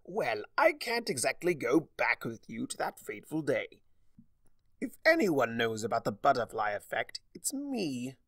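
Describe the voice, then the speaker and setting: A sly, mischievous spirit of chaos from a fantasy storybook, acted out by a man. The delivery is theatrical and teasing, leaping around in pitch with a gravelly creak, recorded close and dry. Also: huge pitch swings, unhurried, fairly bright tone, no echo, full character voice. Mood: brooding, sly.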